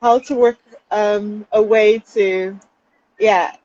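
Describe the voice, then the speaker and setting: A woman's voice: medium pitch, fairly even intonation, takes it slowly, a little mellow, dull audio. A young woman from the Netherlands, a trainee counselling psychologist, speaking thoughtfully as an interview guest from outdoors. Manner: thoughtful, with light laughs and hesitations. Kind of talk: casual interview chat. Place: outdoors during lockdown.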